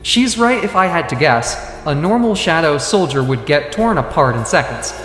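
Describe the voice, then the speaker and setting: Man acting a calm young hunter, echoing reverb. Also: on the high side, normal speed. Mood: thoughtful. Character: calm confident young male elite hunter. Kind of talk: dramatic manga dub narration. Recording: echoey reverberant voice over background music.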